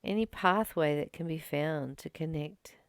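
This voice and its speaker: A woman reading a spiritual text aloud and reflecting on it in a slow, gentle, contemplative way. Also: deep voice, smooth, dry recording, clean studio sound. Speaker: spiritual study reader and teacher.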